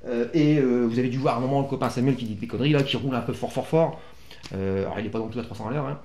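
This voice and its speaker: A man's voice: mid-range voice, rapid-fire, dark tone. A man hosting a casual motorcycle gear unboxing vlog, rambling along with bossy, teasing and coarse jokes. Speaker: vlog host. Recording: echoey room with music behind.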